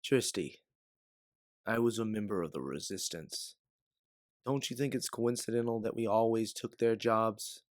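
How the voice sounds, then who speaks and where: A man playing an older, protective bounty hunter mentor in an audio drama, speaking in an earnest, measured way. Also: fairly low pitch, dry recording, clean studio sound, close to an everyday voice. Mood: explaining. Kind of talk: dramatic audio fiction acting.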